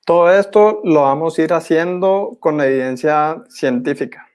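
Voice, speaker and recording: A young man gives a formal academic presentation in a measured, didactic manner as a postgraduate student. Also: on the high side, a little mellow. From Guatemala. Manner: measured, didactic, clearly enumerating.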